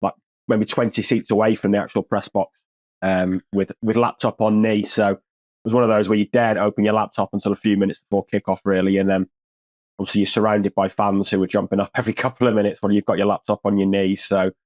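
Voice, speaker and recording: A man's voice: lowish voice, little pitch movement, quick pace, darkish tone, dry recording. A man with an English accent, a football journalist co-hosting a podcast, talking in a dry, wry, rambling way with lots of restarts. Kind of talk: casual podcast chat.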